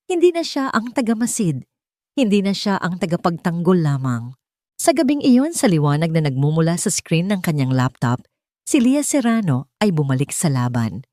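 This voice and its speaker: A woman's voice: on the low side, a little mellow, no echo, clean studio sound. A woman with a Filipino accent reading a dramatic romance story as a scripted audiobook narration.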